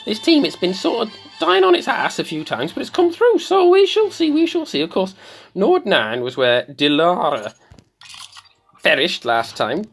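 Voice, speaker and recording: Someone putting on the voice of a slightly mad, excitable woman doing theatrical video game commentary, repeating phrases for effect. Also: medium pitch, moderate pace, vocal fry, husky, soft background music, heavily acted. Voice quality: put-on comic voice. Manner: theatrical, repeats phrases, swoops between squeals and murmurs.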